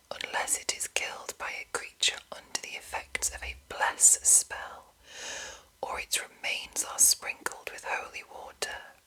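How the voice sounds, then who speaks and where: A woman whispering an ASMR reading in a breathy, unvoiced voice, with slow and deliberate phrasing. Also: bright, forceful tone, low-quality recording. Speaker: ASMR host reading a monster manual.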